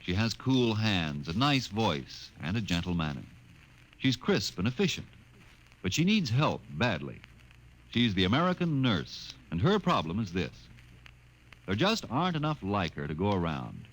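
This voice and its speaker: A man's voice: fairly low pitch, wildly swooping pitch, moderate pace. A man announcing in earnest, emphatic 1950s radio sponsor style. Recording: faintly noisy 1950s radio recording.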